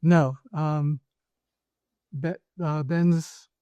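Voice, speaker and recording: A man with a fairly high but mellow voice, recorded close with a dry sound. He answers interview questions as a guest lawyer, measured and careful, sometimes hesitating over details. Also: fairly even intonation, smooth, no room sound, lo-fi sound. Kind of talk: conversational interview.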